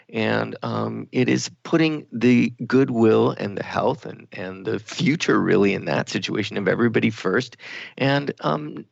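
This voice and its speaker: A man with a heavily gravelly, creaky voice hosts a spiritual talk radio discussion. He speaks reflectively, often feeling for the next word. The sound is dry and somewhat dull, like lo-fi radio audio. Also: mid-range voice, no room sound. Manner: reflective, hesitant, searching for words. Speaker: radio show host.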